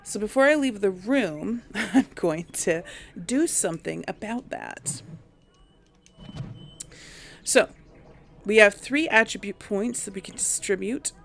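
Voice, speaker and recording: Female let's play narrator, casual, slow-paced, thinking aloud, creaky edge to the voice. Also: mid-range voice, animated, a little hiss or hum. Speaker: game streamer narrating a role play.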